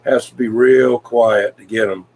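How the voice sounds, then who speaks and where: A man in casual CB radio chat, talking in a folksy, rambling, hesitant way with frequent pauses. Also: mid-range voice, fairly even intonation, creaky, a little mellow, slightly rough. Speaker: CB radio hobbyist, video maker.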